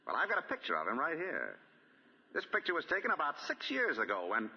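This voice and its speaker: Dull old radio recording, shrewd detective, swooping intonation, husky voice, accusing, deliberate. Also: male voice, a little high, huge pitch swings, sharp tone, big-room sound, slight background noise, heavily acted. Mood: pointed, reasoning. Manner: probing, deliberate, accusing questioning. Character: shrewd, composed male amateur detective laying out a case. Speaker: radio drama actor. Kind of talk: 1940s radio drama acting. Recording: dull, lo-fi 1940 radio broadcast.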